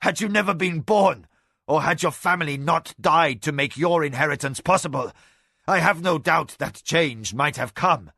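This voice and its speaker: A man's voice: fairly high pitch, lively intonation, normal speed, pushed and bright, dry recording, studio quality, pitched above the performer's own voice. A man doing stern commanding lords and magicians. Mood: bitter. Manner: stern, formal pronouncements. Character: stern male magicians and proud bitter male lord.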